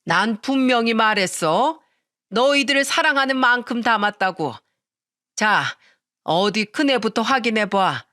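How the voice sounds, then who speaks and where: A woman's voice: on the high side, a little slow, sharp tone. A woman voicing a stern elderly mother-in-law who scolds bluntly in a dramatic family story. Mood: firm. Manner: firm, reproachful, blunt.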